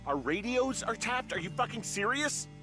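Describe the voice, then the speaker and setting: An actor playing a weary, anxious American fire lookout in a video game, hesitant and exasperated in natural dialogue. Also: male voice, very high-pitched, big pitch swings, moderate pace, sharp tone, faint music behind, full character voice. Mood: alarmed.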